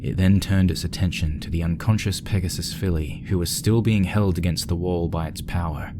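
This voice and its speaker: A man's voice: low-pitched, fairly even intonation, normal speed, noisy background. A man working as narrator, reading a scripted horror story dramatically.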